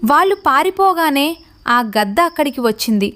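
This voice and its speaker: A woman's voice: high voice, sing-song, fairly quick, fairly bright tone. A woman narrator reading a scripted story for children.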